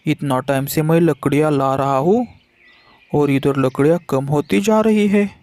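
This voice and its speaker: A man's voice: fairly high pitch, moderate pace, darkish tone, dry recording, close to an everyday voice. A man voicing a hapless male crow who grumbles aloud to himself in a children's cartoon. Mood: grumbling. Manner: exclaiming, complaining aloud to himself. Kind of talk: Hindi children's cartoon voice acting.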